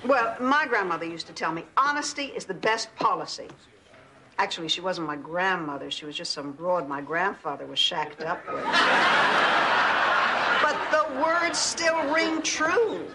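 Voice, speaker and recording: A woman's voice: on the low side, expressive, measured pace, a loud crowd, heavy background noise. An actress playing a well-meaning sitcom confidante, chatty and wryly candid as she gives advice. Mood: advising. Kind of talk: multi-camera sitcom acting.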